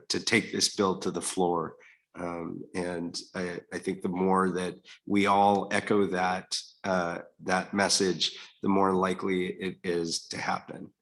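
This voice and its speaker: Slow-talking man with a low voice. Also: fairly bright tone. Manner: measured, many fillers and restarts. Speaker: congressional committee staffer on a panel. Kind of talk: panel answer, explaining policy.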